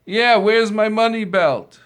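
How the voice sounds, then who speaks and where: A man voicing an angry traveler who accuses a stranger of stealing his money, shouting and accusing. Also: high-pitched, slow pace, clear, resonant tone, pitched above the performer's own voice. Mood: furious.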